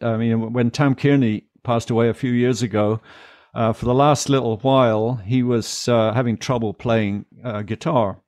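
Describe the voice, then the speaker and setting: A man's voice: medium pitch, a little mellow, dry recording. Older man, dry close sound. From Britain. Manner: rambling, many fillers and restarts. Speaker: guest musician. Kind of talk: casual interview talk. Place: home music studio room. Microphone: studio mic, headphones on.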